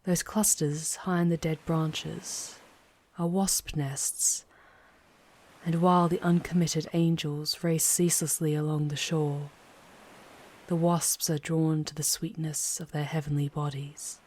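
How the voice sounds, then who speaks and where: A woman playing a charming, poised female demon guide in an intimate ASMR roleplay, speaking in a measured way with breathy, hushed touches. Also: low-pitched, level tone. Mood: eerie, intimate. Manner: measured, deliberate, often dropping into breathy whispers.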